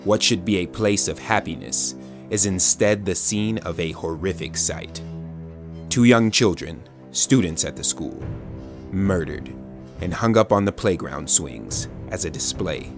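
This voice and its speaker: A man narrating an audio drama as a grave storyteller, with deliberate, dramatic scripted delivery. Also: low voice, over music. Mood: grave, somber. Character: grave, omniscient male storyteller.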